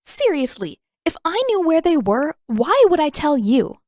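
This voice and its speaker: A woman's voice: sits high, big pitch swings, a little slow, a little mellow, no room sound, studio quality, pitched above the performer's own voice. A woman voicing a defiant, hot-tempered teenage girl with a sarcastic, indignant manner in an audiobook. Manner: indignant, mocking, emphatic. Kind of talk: audiobook character acting.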